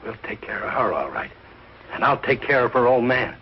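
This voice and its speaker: A man's voice: medium pitch, sing-song, brisk, natural voice. An actor playing a middle-aged, big-hearted, blundering working-class American father in a 1940s sitcom, with colloquial, ungrammatical speech. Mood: indignant. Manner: colloquial, ungrammatical, plain-spoken. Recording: dull old broadcast with hiss and audience.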